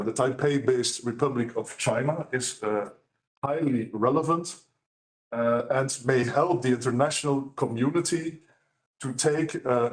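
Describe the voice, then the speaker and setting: A man gives a formal academic presentation as a law professor and author. He speaks in a measured way with frequent pauses. His voice sits at an ordinary, mid-range pitch for a man. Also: poor audio.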